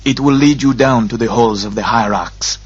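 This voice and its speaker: Harsh male temple priest, acted by a man, suspicious, commanding, husky, fantasy audiobook. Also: medium pitch, normal speed, dull audio, lightly acted. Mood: curt, instructing.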